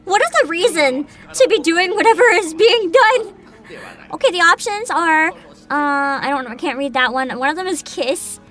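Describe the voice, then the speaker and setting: A woman hosting a livestream, chatting casually and reacting in an animated, exclamatory way with frequent swearing. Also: very high voice, moderate pace. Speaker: livestream host, VTuber.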